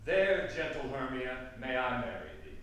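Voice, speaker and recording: A man playing an ardent young lover, declaiming Shakespearean verse emphatically in drawn-out phrases at a staged reading. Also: mid-range voice, lively intonation, fairly bright tone, close to an everyday voice. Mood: tender, earnest. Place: echoey room at a theatre camp reading. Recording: cavernous echo, distant mic, faint noise.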